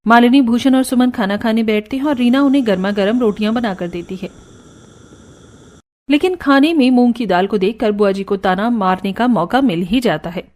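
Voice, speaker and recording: A woman giving scripted narration for a cartoon story in an even, descriptive way. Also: on the high side, normal speed.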